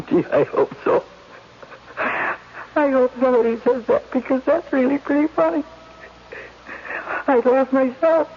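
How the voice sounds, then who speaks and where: A woman's voice: sits high, takes it slowly, long pauses, husky, exaggerated voice. A woman playing a despairing, self-mocking actress who talks to herself in a 1970s radio drama. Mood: bitterly amused, tearful. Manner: soliloquizing, emotional, self-deprecating. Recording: muffled 1970s radio recording, faint noise.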